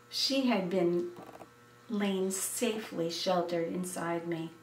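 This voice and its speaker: Older American woman, seventies, unhurried, expressive memoir narration, roomy echo, distant microphone. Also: medium pitch. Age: 70s. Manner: expressive, deliberate, dramatic storytelling. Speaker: essayist reading her memoir. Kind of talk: scripted personal narration.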